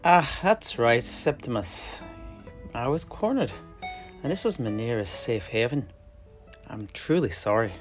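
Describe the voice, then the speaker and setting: A man plays a roguish, cheerful alchemist in a fantasy audio drama. His talk is breezy and folksy, with lively swings in pitch. Faint music plays underneath. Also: measured pace, long pauses, natural voice. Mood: breezy, apologetic. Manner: folksy, breezy, dialect grammar. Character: cheerful brash wandering male alchemist, blood-spattered, unbothered. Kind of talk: in-character audio drama dialogue.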